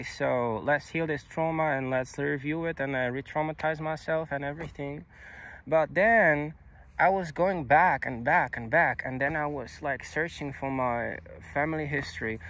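A young man whose first language is Czech, speaking outdoors into a phone in a halting, confessional way, restarting words and swearing casually. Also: mid-range voice, gravelly, a bit bright, slight background noise. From Czech Republic. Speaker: vlogger. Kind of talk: personal confessional monologue. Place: outdoors on a grassy hilltop. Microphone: phone camera held low.

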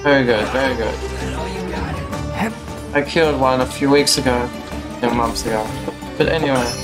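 A man's voice: mid-range voice, normal speed. A young man casually commentating on his own gameplay, talking to himself in a playful, mock-polite way. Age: young adult. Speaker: game streamer. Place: small room indoors at home. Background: game music.

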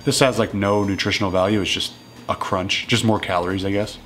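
A man in his thirties casually walking through home meals in his kitchen, relaxed and matter-of-fact. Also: on the low side, brisk, slight background noise. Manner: relaxed and matter-of-fact, plain step-by-step talk. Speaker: strength coach cooking at home. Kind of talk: casual cooking walkthrough.

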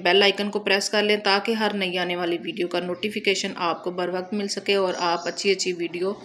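A woman's voice: medium pitch, a bit bright. A woman with a Pakistani Punjabi accent giving a chatty, casual recipe voice-over as a cooking channel host. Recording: noticeable background noise, home recording.

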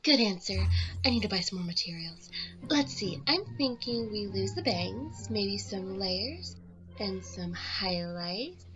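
A woman plays an excitable, fashion-minded teenage girl in an amateur movie dub. She is emphatic and dramatic at a medium pitch, slightly muffled, with music behind. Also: unhurried, close to an everyday voice. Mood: chirpy. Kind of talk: amateur fandub acting.

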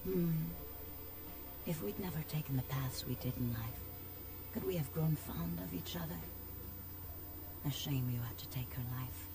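A seductive vampire woman from a video game. She speaks in a sultry, breathy way and draws her words out languidly. Music plays clearly behind her. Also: low voice, moderate pace, pauses often, low-quality recording. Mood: sultry, grateful. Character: seductive, languid female vampire nightclub owner.

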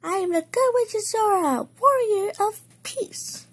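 A woman voicing an excitable teenage girl in a comic dub, theatrical and gushing as if on stage. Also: very high voice, a little slow, pitched above the performer's own voice. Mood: grandly dramatic. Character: excitable, dramatic teenage girl reciting romantic play lines.

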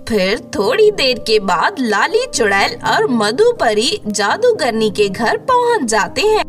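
A woman's voice: high voice, very animated, slow, faint music behind. A woman reading scripted narration for a cartoon story.

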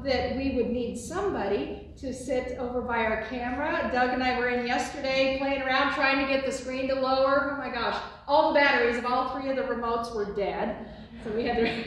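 An older woman pastor with a booming voice giving church announcements and a benediction, recorded by a distant phone in a large sanctuary. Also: slight background noise. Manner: booming, expressive, gesturing widely. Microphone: phone on a tripod from afar. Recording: echoey sanctuary from far off.

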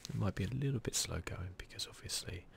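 A man giving soft, near-whispered ASMR commentary as he hosts a gaming video, in a casual, muttering, self-deprecating way. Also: low voice, animated, fast, creaky.

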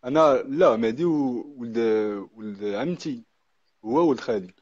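A man's voice: mid-range voice, animated, moderate pace, darkish tone, husky, no echo. A man in his twenties with a Moroccan accent answering questions over a call, hesitant and restarting. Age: 20s. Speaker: guest on call. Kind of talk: phone-in interview. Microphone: phone call. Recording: muffled lo-fi call audio.

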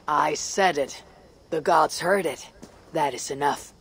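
Brooding, steadfast Viking warrior. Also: male voice, a little high, gravelly, a bit bright, slightly rough, heavily acted. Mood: firm, defiant. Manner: measured, brooding, resolute. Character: solemn, steadfast Viking warrior leader, adult man. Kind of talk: dramatic video game cutscene acting.

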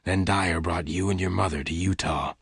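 A man voicing a gruff but gentle gunman in a storybook, speaking softly, deliberately and solemnly. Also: deep voice, steady tone, dry recording, studio quality, heavily acted. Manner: soft, deliberate, solemn delivery. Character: gruff, gentle older gunman, quiet and grave. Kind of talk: dramatised audiobook dialogue.

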